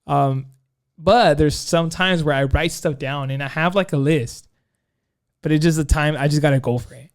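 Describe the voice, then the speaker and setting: A man with a fairly high, smooth and clear voice talks loosely in casual podcast chat. He rambles through stories, stacking up "like" and restarting his sentences, with a joking edge. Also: moderate pace. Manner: rambling, many "like" fillers, joking. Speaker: podcast co-host.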